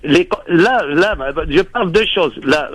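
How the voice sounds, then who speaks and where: A man from Lebanon calling in to a radio show, talking in a halting, rambling way. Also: fairly high pitch, animated, a crowd in the background. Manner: rambling, halting, heavy pauses. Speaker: radio caller. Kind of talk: call-in radio talk.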